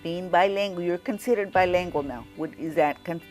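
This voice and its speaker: A woman's voice: lowish voice, fairly quick, a little mellow, soft background music. A woman student interviewing a classmate, politely asking questions and restarting them midway.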